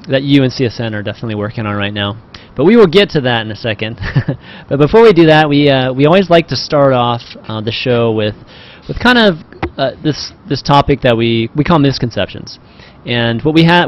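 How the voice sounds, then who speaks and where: A man hosting a casual panel talk over a video call, rambling and hedging as he goes. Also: medium pitch. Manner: rambling, hedging with "you know". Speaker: talk show host. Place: indoor room on a video call. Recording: dull, muffled online call audio.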